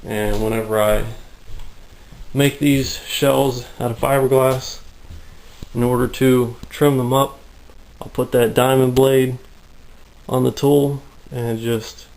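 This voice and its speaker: A man shows off his tools and builds in an unhurried, rambling way, his voice gravelly and worn raspy and a little out of breath. He speaks in a low, flat drawl with little rise and fall. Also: poor audio. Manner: relaxed, rambling explanations with many fillers. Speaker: prop maker giving a workshop tour. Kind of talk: casual workshop tour. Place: hot, humid home workshop.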